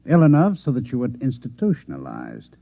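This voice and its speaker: A man playing a coolly menacing Martian infiltrator posing as a newspaper man in an old-time radio drama, measured, formal and insinuating. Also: medium pitch, wildly swooping pitch, creaky, exaggerated voice. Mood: cool menace. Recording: echoey, phone-like old radio drama recording.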